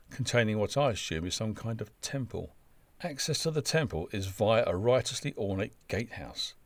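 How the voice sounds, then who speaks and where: Man narrating with lively, low-pitched swoops. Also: no room sound. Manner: wry, dry-humoured, wordy descriptive delivery. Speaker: narrator of a travel anecdote. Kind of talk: scripted travel narration.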